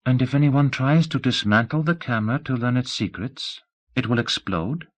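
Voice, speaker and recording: A male narrator voicing a candid, shrewd young woman in her early twenties who speaks softly and asks pointed questions in an audiobook. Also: mid-range voice, moderate pace, voice pushed up, lightly acted. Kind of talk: audiobook character voice.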